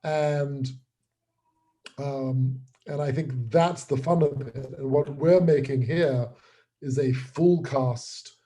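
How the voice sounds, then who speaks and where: A man's voice: medium pitch, steady tone, slow, mellow. A man explaining his creative work as a guest in an interview. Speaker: audio drama creator being interviewed.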